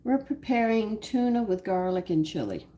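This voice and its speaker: A woman hosting a live cooking stream, hoarse from losing her voice, chatting homily to viewers as she walks through each step. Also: mid-range voice, expressive, normal speed, mellow. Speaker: cooking show host. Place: home kitchen. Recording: noisy home kitchen live stream. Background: clinking dishes and cutlery.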